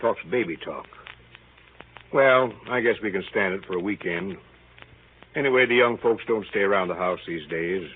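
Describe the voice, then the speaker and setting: Husky, laconic small-town father. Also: low-pitched, normal speed, pauses often. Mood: dry, resigned. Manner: laconic, clipped, deadpan. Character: weary, dry middle-aged small-town father. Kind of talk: 1930s radio drama monologue. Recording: muffled band-limited 1930s radio recording.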